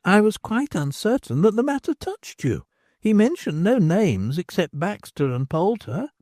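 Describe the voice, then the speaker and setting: A woman's voice: medium pitch, very animated, a little slow, dark tone, no room sound, clean studio sound, pitched above the performer's own voice. A reader voicing a grave young woman who explains things in a formal, careful way. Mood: earnest.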